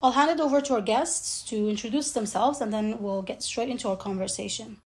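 A woman's voice: fairly high pitch, fairly quick, a little mellow. A woman hosting an interview, introducing guests and asking questions in polished, formal phrasing. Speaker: interview host. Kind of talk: semi-scripted interview hosting.

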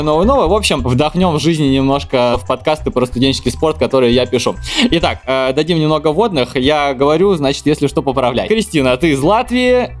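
A man hosting a podcast, asking questions in an upbeat, playful way. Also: mid-range voice. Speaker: podcast host. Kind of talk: casual podcast interview.

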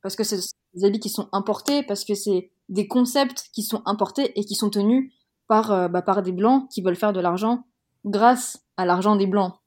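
A woman's voice: medium pitch, moderate pace, darkish tone. A young woman from Madagascar, interviewed in a casual talk, speaking frankly and heatedly with many fillers and restarts. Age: young adult. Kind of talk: casual conversation.

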